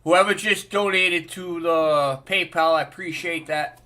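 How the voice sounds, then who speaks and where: Bright, chatty live-stream host. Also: male voice, a little high, measured pace, slight background noise. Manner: chatty, laughs often, thanks donors. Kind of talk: casual live-stream chat.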